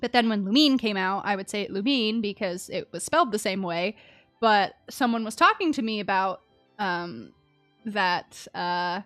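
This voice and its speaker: A woman's voice: mid-range voice, normal speed, a bit bright, no echo, soft background music. A woman giving casual, chatty live commentary as she streams a game, reacting to the story.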